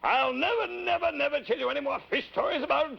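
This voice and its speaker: A man voicing an old, blustering male showman puppet who stammers when excited, in 1950s children's television. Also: very high-pitched, fast talker, creaky. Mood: sheepish. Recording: dull old broadcast recording.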